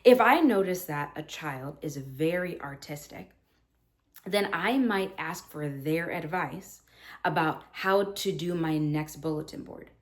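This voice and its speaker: A woman's voice: sits low, a little slow. A woman teacher in her thirties talking earnestly to other teachers about classroom practice, acting out what she says to students. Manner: earnest, animated, acts out her own quoted lines.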